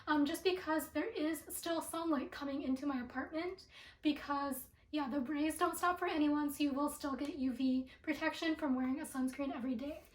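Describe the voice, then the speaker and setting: A young woman talks through a skincare haul in a casual vlog, filling her sentences with ums and likes. Her voice sits high and is a little raspy from allergies. The sound bounces around an echoey room. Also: fairly even intonation, normal speed. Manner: chatty with many ums and likes. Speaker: beauty product reviewer. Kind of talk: casual product review vlog.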